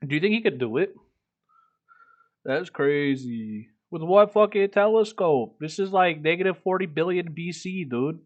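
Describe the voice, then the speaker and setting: Guy streaming himself reading out loud, casual sweary comments, kinda muffled cheap mic sound. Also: on the high side, lively intonation, a little slow. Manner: irreverent, profane asides. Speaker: streamer reading a book aloud.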